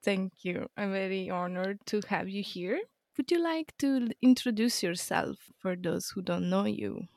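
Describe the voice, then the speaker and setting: A woman's voice: medium pitch, expressive, unhurried, darkish tone, no room sound. A woman hosting a podcast on yoga, welcoming her guest and asking plain questions in a friendly way. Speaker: podcast host. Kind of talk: podcast interview.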